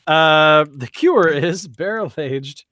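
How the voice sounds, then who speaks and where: A man hosting a beer review podcast in casual chat, excitable and joking, often trailing off mid-sentence. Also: a little high, slow pace, dry recording.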